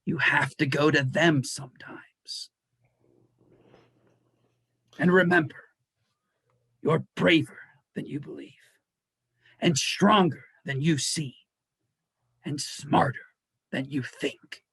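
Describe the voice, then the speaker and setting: Man playing a gravelly, world-weary old teddy bear. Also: fairly high pitch, slow, long pauses, a bit bright, full character voice. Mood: gently encouraging. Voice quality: gravelly, husky. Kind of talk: dramatized play script reading.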